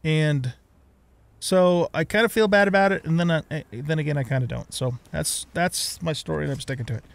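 A man's voice: a little high, a little fast, gravelly. A middle-aged man giving casual let's play commentary, chatting and thinking aloud as he goes. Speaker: gaming let's play host.

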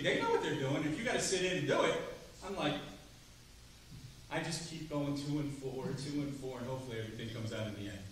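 A middle-aged man giving a drum clinic to students, chatty and lively, asking the room questions. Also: on the high side. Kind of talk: percussion clinic talk to students. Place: large rehearsal hall, standing before seated students. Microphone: far camera, no mic. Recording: roomy hall from far off, faint noise.

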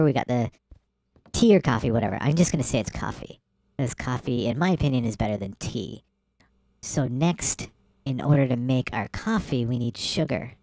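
A woman hosting a let's play, giving excitable, playful game commentary with gasps and shrieks at surprises. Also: very deep voice, no room sound.

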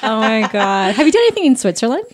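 A woman's voice: fairly high pitch, very animated, crowd noise. A woman co-hosting a podcast, agreeing often and steering the casual chat. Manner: quick to agree, lots of "yeah". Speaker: podcast co-host.

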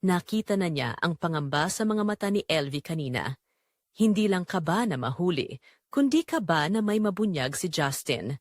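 A Filipino woman narrates a dramatic story with lively, swinging intonation. She phrases it in short, measured pieces that build suspense. The sound is dry and close, with no room around her. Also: lowish voice, dry recording.

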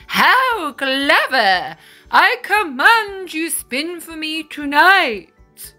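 A woman voicing a greedy male king who gives orders slowly and loudly in a storybook reading. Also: sits high, sing-song, soft background music, voice pushed up, heavily acted. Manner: slow, loud, commanding.

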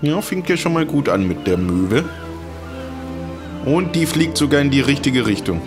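A chatty man from Germany comments on a game, reading menus aloud and adding joking asides. His voice sits at an average pitch. Game music plays clearly beneath him. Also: very animated, normal speed. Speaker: let's play host.

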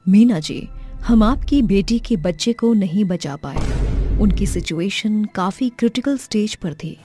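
A woman's voice: medium pitch, lively intonation, measured pace, over music, lightly acted. A woman playing a grave doctor in an acted story, speaking formally. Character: female doctor gravely breaking bad news. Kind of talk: acted dialogue for an animated moral story.

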